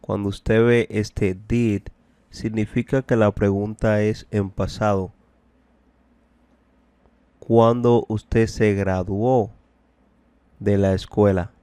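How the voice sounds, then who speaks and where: Guy teaching english bilingually, low voice, really slow and deliberate, repeats phrases, dry close sound. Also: flat delivery, dark tone, clean tone, dry recording. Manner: deliberate, repetitive, explanatory. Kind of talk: bilingual language lesson, translating and repeating phrases.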